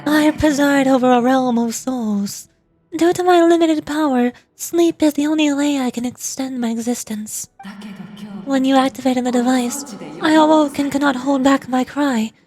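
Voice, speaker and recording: A woman playing a solemn ancient angelic spirit in a video game, speaking formally and with measured care. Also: high-pitched, darkish tone, soft background music, close to an everyday voice. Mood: solemn, grave. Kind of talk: scripted game dialogue.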